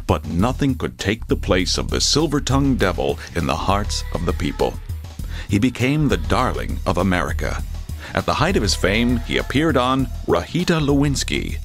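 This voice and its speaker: Low solemn documentary narrator, pompous but lively intonation, faint music under it. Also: male voice, normal speed. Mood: reverent, grandiose. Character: pompous, earnest male documentary narrator praising a poet. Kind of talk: scripted mock-documentary narration.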